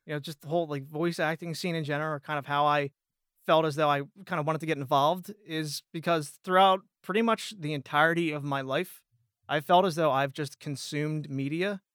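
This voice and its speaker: High-voiced, rambling American man. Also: steady tone, fairly quick, fairly bright tone, clear, resonant tone, no room sound, studio quality. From Pennsylvania, United States. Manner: rambling, self-deprecating. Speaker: voice actor, guest. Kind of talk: casual chat.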